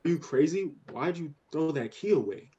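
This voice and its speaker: A man's voice: fairly high pitch, animated, moderate pace, warm tone, breathy edge, dull audio. A man playing an alarmed, exasperated husband and father in a radio horror drama, exclaiming and demanding.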